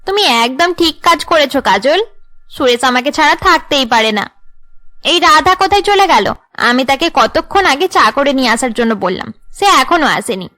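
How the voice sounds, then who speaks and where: A woman plays a scheming young villainess in a cartoon family drama. Her voice is high and piercingly bright, and she talks imperiously, like someone who owns the house. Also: animated, normal speed. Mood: smug, gossipy. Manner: imperious, sharp-tongued. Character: haughty, bossy, scheming young women of the household. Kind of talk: Bengali cartoon drama dubbing.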